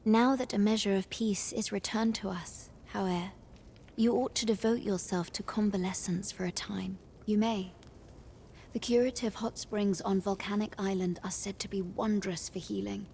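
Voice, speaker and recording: An actress playing a gracious, solicitous noblewoman in a fantasy video game, measured and courtly, at times breathy. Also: mid-range voice, fairly even intonation, darkish tone, slight background noise, natural voice. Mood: gracious, subdued. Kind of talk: video game character dialogue.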